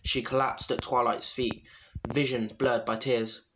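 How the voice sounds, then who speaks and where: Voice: mid-range voice, level tone, measured pace. An amateur reader narrating a fan fiction story in a noisy home recording with clicks. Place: at home, late at night.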